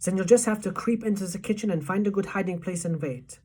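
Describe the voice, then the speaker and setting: A woman voicing a wily, determined old grandmother who speaks in a measured, deliberate way in a storybook. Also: mid-range voice, dark tone, slightly rough, close to an everyday voice. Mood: scheming, fond.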